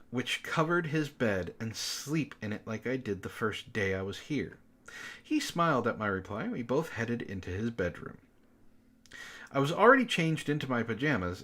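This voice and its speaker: A man's voice: medium pitch, fairly bright tone. A man reading amateur fiction aloud expressively, breaking in with sarcastic, exasperated comments as a book club host.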